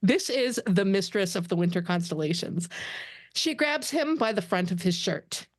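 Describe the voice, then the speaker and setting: A woman's voice: mid-range voice, lively intonation, moderate pace, no echo. A woman narrates a script's stage directions for a table read. Her voice is mid-range and the recording is dry, with very little room sound.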